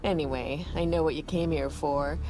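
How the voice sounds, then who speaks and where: A woman's voice: low voice, steady tone, normal speed, over music, lightly acted, low-quality recording. A woman voicing a mocking, haughty vampire villain in a video game cutscene. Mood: mocking, smug.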